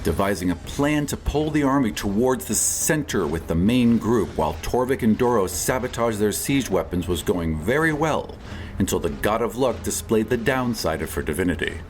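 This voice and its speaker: A man's voice: fairly low pitch, lively intonation, normal speed, slight background noise. A man reads scripted, dramatic fantasy narration as the storyteller of a game. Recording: music behind the voice. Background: music.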